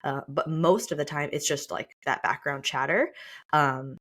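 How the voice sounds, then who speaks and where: Low-pitched woman, candid podcast talk. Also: moderate pace, fairly bright tone. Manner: open, self-reflective, confiding. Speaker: podcast co-host. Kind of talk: candid personal conversation.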